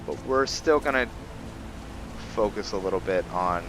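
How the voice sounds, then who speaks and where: A man giving casual commentary on a roleplay game, halting and musing as he thinks aloud. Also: low-pitched, lively intonation, poor audio. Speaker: host of a game roleplay series. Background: background music.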